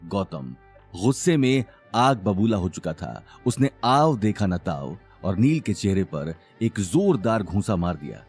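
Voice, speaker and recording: A man narrating a scripted audio drama in a dramatic, expressive storytelling manner. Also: lowish voice, measured pace, soft background music.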